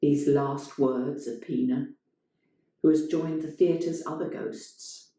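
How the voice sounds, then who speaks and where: A strongly echoing room holds the voice of a woman narrating a short story. She reads in a measured, dramatic style, slowly and with a mellow, soft-edged tone. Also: sits low, steady tone. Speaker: story reader. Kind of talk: scripted literary narration, performed reading. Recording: very echoey room.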